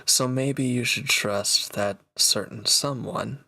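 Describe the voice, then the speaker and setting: Gravelly, cocky reformed villain played by a man. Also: medium pitch, slow pace, pushed and bright, dry recording, full character voice. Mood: smug, bossy. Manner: cocky, teasing, talking directly to one listener. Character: proud, teasing reformed male villain, a battle-hardened swordsman. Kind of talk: scripted audio roleplay, intimate one-sided dialogue.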